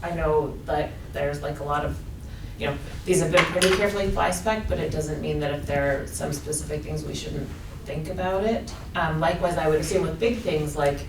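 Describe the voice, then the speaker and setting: A woman board member speaking at a school board meeting, halting and piling up clauses. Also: low-pitched. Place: meeting room. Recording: echoey room, distant mic, some noise.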